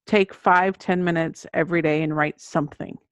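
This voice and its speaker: A woman's voice: fairly low pitch, steady tone, moderate pace, no room sound. A middle-aged woman giving conversational answers as an author guest in an interview. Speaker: guest, children's book author. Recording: dull lo-fi audio.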